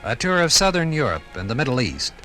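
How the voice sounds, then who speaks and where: A man with an American accent narrates a 1950s radio documentary. His mid-range voice is formal and authoritative, with music playing clearly behind it. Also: mid-range voice, sing-song, quick pace.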